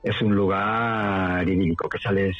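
A man's voice: deep voice, flat delivery, unhurried. A middle-aged man from Madrid with a central Spanish accent, telling about his life casually as an interview guest. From Madrid, Spain. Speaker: interview guest, rural mountain dweller. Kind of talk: casual conversational storytelling. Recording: dull lo-fi audio, faint music.

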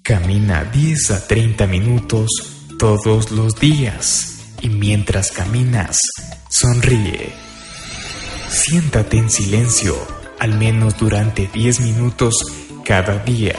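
A man's voice: lowish voice, slow. A man reading scripted life advice as a radio segment announcer, in short, separated phrases. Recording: echoey voice under clear music. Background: music.

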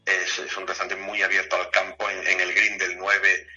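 A man's voice: low-pitched, completely flat delivery, moderate pace, pushed and bright, dull audio. A man with an accent from Spain, talking chattily and repeating himself as a guest in a casual radio interview. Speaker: guest, golf club director.

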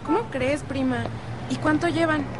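A woman's voice: sits high, normal speed, very noisy, lightly acted. An actress playing a curious, easygoing teenage girl with a Mexican accent in a radio soap opera.

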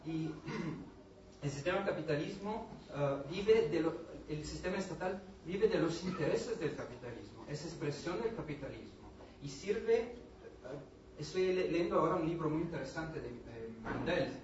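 Young Italian-accented man, non-native speaker searching for words; far off in a booming hall with clear background noise. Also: lively intonation, moderate pace. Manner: searching for words, repeats himself. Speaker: audience member, doctoral student. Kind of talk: question-time comment at a public talk. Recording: very echoey hall recording from far off, noisy, dull.

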